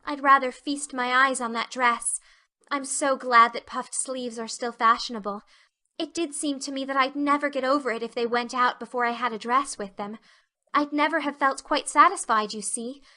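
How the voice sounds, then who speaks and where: A woman voicing an excitable, dreamy young girl in an audiobook, gushing with rapture. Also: sits high, moderate pace. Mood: rapturous, earnest. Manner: gushing, effusive, breathless exclamations. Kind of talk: audiobook character acting.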